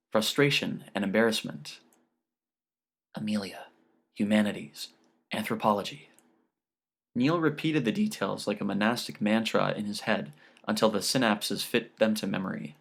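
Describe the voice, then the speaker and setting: A man narrating scripted literary fiction as an audiobook reader. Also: on the low side.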